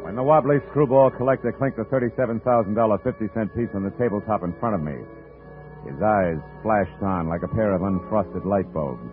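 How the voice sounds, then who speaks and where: An actor playing a dry, wisecracking hard-boiled private detective who also narrates, in a 1950s radio drama. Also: male voice, on the low side, brisk, close to an everyday voice. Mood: narrating. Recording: tinny old radio broadcast, faint music.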